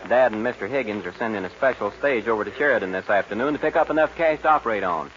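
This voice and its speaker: An actor playing a brash, confident young stagecoach guard in a 1940s radio western. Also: male voice, on the low side, fast, a bit bright, a little hiss or hum, close to an everyday voice. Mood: confident, unworried. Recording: muffled 1940s radio recording.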